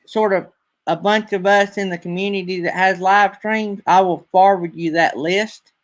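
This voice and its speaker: A man's voice: high voice, steady tone, moderate pace. A man with a Southern accent hosting a casual live-stream chat, rambling along with plenty of fillers. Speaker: host.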